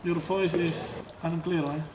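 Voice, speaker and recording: Friendly gamer in an echoey room. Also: male voice, on the high side, level tone, unhurried. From Indonesia. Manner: friendly, chatty, asks many questions. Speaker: gamer in online co-op. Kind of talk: casual voice chat while gaming. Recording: echoey voice chat mic with game noise. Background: game sounds.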